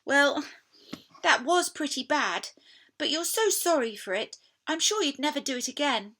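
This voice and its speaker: A woman's voice: high-pitched, lively intonation, measured pace, pushed and bright, pitched above the performer's own voice, full character voice. A woman voicing an innocent, kindly young girl in a storybook, speaking in a hesitant, earnest, pleading way. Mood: earnest reassurance.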